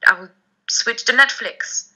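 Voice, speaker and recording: Bright-voiced woman on a headset. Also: fairly high pitch, a little slow, breathy edge. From Germany. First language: German. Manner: lively, emphatic, laughs often. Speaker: guest, language blogger and podcaster. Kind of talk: casual video call chat. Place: home room, indoors. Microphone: headset on video call. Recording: dull lo-fi video call audio.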